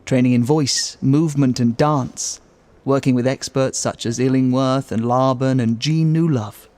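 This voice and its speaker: An actor playing an urbane, florid theatre critic in an audio drama, pompous and theatrical. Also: male voice, medium pitch, steady tone, measured pace, darkish tone, dry recording, natural voice. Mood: grandly explanatory.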